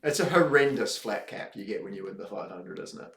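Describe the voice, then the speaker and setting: A man's voice: fairly low pitch, expressive, a little fast, gravelly, a bit bright. A man co-hosting casual race commentary, bantering with Kiwi slang. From New Zealand. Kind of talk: casual sports chat on a stream. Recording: roomy echo.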